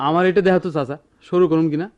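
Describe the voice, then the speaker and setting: A man's voice: fairly high pitch, steady tone, rapid-fire, highs cut off, lightly acted. An actor playing a curious, admiring young apprentice craftsman with a Dhaka-area Bangladeshi accent, in a radio drama. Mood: wide-eyed, admiring. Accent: Bangladeshi, Dhaka-area dialect.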